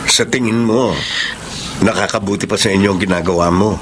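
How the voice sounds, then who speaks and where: An actor playing a caring bachelor uncle who counsels earnestly in a Tagalog radio drama. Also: male voice, on the low side, fairly even intonation, brisk, background noise, lightly acted. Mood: probing. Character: caring bachelor uncle giving gentle, practical advice.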